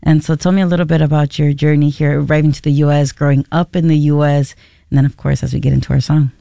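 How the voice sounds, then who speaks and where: Male radio host, kinda gravelly, flat steady delivery, dry studio mic. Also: on the high side, no room sound. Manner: measured, introduces guest and songs. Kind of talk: radio interview hosting.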